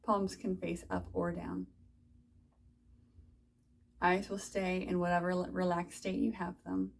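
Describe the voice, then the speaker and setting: A woman teaching a guided body scan meditation, giving soft, soothing, unhurried instructions with long pauses. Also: fairly low pitch, lively intonation, creaky.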